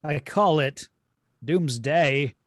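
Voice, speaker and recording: A man voicing a dour, coolly superior alien supercomputer villain in an amateur table read, measured in manner. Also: a little high. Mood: cool, dismissive.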